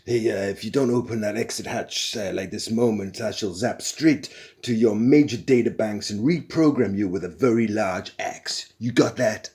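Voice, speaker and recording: Careless swaggering captain, casual and slightly fumbling, low voice; male reader. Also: normal speed, gravelly. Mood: threatening. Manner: casual, offhand, stumbling. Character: laid-back, careless male space captain. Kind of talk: audiobook character voice.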